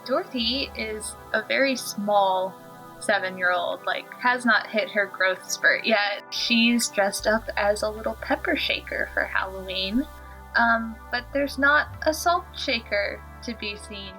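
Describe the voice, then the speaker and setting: A woman's voice: medium pitch, a little slow, pushed and bright, soft background music, rough recording. A woman who plays in a tabletop game, narrating casually and off the cuff. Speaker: tabletop role-play player.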